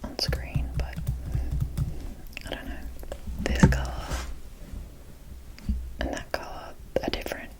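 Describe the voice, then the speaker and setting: A woman speaking in a soft, unvoiced whisper, showing items in a casual, rambling ASMR style with pauses between short phrases. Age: adult. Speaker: ASMR video host. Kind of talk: whispered ASMR, unscripted doll showcase.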